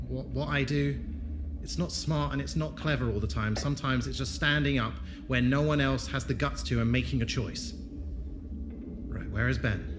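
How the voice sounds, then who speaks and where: Audio drama lead, male actor: grave adventurer, medium pitch, intense explanatory delivery, clear background noise. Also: moderate pace, natural voice. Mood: resolute. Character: grave, resolute adventurer leading his companions. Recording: clearly noisy background ambience.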